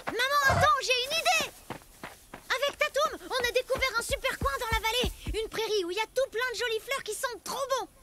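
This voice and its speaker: A woman voicing an eager, cheeky, affectionate little boy in an animated children's cartoon, chatty and excitable. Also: very high voice, brisk. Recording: music behind the voice.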